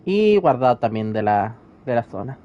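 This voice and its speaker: A man's voice: medium pitch, very animated, a little fast. A man giving casual, offhand, muttered commentary as he plays a video game. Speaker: gaming streamer. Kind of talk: casual Spanish-language gameplay commentary. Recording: dull lo-fi microphone. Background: game music.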